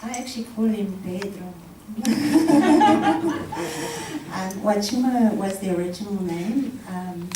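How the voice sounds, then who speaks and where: A woman's voice: medium pitch, expressive, slow pace, roomy sound, recorded from a distance, crowd noise, rough recording. A woman from South Africa speaking informally to a live audience, with many ums and frequent laughter. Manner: soft-spoken, many ums, laughs often. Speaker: guest speaker. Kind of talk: informal talk before an audience. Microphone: handheld mic.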